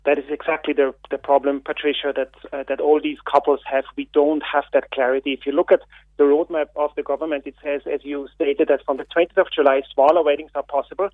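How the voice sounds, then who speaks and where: Austrian man, radio phone-in guest, halting speech full of fillers, slightly high voice, tinny phone-line sound, dry. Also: flat delivery, no echo. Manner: halting, with many fillers and repeated words. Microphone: telephone.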